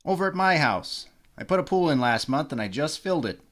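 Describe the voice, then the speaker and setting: A man's voice: on the high side, sing-song, fairly quick. A man playing a cocky bandleader at the pool table in a 1950s-style radio comedy, breezy and offhand. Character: cocky middle-aged bandleader shooting pool. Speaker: radio play actor. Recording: loud hiss and noise.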